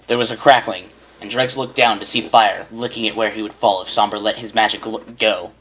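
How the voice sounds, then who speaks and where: A man's voice: lowish voice, fairly even intonation, fairly quick, a bit bright, poor audio. A man reading fanfiction aloud as an amateur, often stumbling over phrases and repeating them. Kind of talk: reading a story aloud with character voices.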